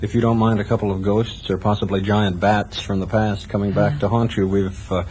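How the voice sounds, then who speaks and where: A man's voice: lowish voice, flat delivery, fairly quick, a little mellow. An American man interviewing a guest for radio in a conversational style. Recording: noisy 1970s radio tape.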